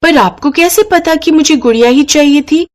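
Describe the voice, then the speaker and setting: A woman's voice: sits high, normal speed, pitched above the performer's own voice, lightly acted. A woman voicing a playful, indulged seven-year-old village girl in a cartoon story, childlike, cheeky and dramatic. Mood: excited. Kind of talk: Hindi moral-story cartoon voice acting.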